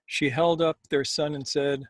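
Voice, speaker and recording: Higher-voiced male storyteller, close and dry. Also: flat delivery, unhurried, no room sound. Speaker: storyteller and co-host. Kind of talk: expressive story reading.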